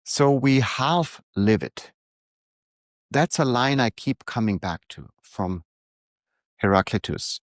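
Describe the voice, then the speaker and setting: A middle-aged man, speaking calmly and reflectively in a prepared monologue to his readers. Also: fairly low pitch, dry recording, clean studio sound. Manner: calm, unhurried, reflective. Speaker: newsletter author and coach.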